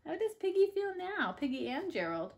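A woman in her thirties reading a picture book to children, with soft-spoken asides and questions to her listeners. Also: very high-pitched, sing-song, normal speed, gravelly. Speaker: read-aloud storyteller for children. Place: living room, indoors. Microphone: camera a few feet away.

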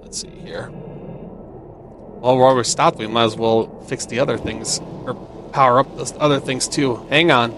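A man's voice: medium pitch, steady tone, a little slow, a bit bright, slight background noise. A man giving casual gameplay commentary, thinking aloud and asking himself questions as he plays. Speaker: gamer playing and commenting on a video game.

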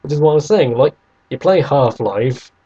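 A man's voice: mid-range voice, lively intonation, moderate pace, mellow, husky, muffled recording. A man co-hosting a podcast, casually arguing about video games and restating his points over an internet call. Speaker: podcast co-host.

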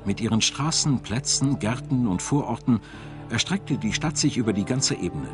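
A man reads scripted documentary narration in a measured, articulate, storytelling way. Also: mid-range voice, animated. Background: background music.

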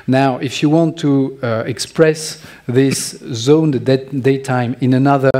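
A middle-aged man with a French accent walks through code at a conference, his voice mellow and low-key. He talks in halting bursts, restarting phrases and filling gaps with small hesitations. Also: fairly even intonation. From France. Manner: halting, many restarts and fillers, joking asides. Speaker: conference speaker. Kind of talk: technical conference talk. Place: large conference hall stage.

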